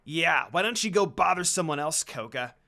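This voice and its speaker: A man voicing a rude, quick-tempered young male half-demon who snaps and shouts. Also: fairly high pitch, animated, gravelly, faint music behind, full character voice. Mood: snarky. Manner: shouting, snarky.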